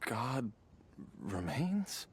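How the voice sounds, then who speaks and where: Dazed, halting, slow-spoken patient. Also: male voice, mid-range voice, big pitch swings, background noise. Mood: dazed, confused. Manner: halting, hesitant. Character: dazed, confused male patient with lost memory. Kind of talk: English video game voice acting.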